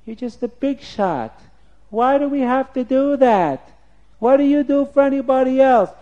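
Man voicing a high-pitched, defiant little kid. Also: a little slow, warm tone, lo-fi sound. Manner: indignant, challenging questions. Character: cheeky, defiant little child standing up to authority. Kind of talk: children's storytelling character voice.

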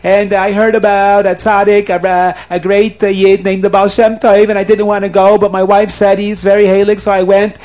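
A man's voice: high voice, level tone, moderate pace, few pauses, a little mellow, lightly acted. A man telling a children's story, voicing a frightened, tearful estate manager who stammers and begs for mercy. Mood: tearful pleading. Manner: stammering, pleading, frantic.

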